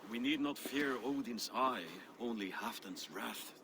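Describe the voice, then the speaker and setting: An actor playing a cunning, ambitious Viking warrior in a video game, speaking persuasively. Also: male voice, mid-range voice, unhurried, bright, forceful tone, slight background noise. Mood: scheming. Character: cunning, ambitious Viking warrior, plotting behind his jarl's back. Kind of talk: cinematic video game dialogue.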